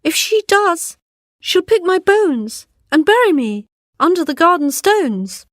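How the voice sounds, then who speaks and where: Woman playing a high-pitched, pleading young girl. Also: very animated, measured pace, no echo, clean studio sound, heavily acted. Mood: urgent pleading. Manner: urgent, pleading cries. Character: frightened young servant girl pleading for help. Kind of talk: storybook character voice.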